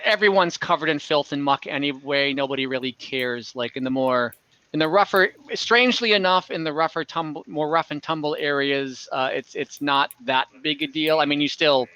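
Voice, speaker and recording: A man's voice: a little high, moderate pace, sharp tone, clear, resonant tone, no room sound, highs cut off. A man running a tabletop game as game master, explaining the world in a conversational, improvised narration over an online call.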